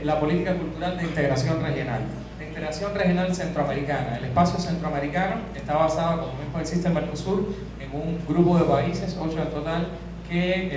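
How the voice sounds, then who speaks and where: Cuban guy with a worn out voice presenting at a seminar, lots of ehs and restarts, far off mic, noisy hall. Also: fairly high pitch. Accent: Cuban Spanish. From Cuba. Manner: measured, with frequent hesitations and restarts. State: voice strained from hours of teaching. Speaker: conference speaker. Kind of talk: academic seminar talk. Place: large conference hall.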